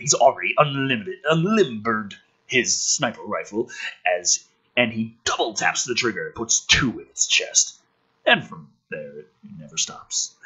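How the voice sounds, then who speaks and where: A man performs a dramatic script reading with sarcastic commentary. His lowish voice is husky yet forceful, and his emphasis is theatrical. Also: low-quality recording. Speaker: host reading a film script aloud.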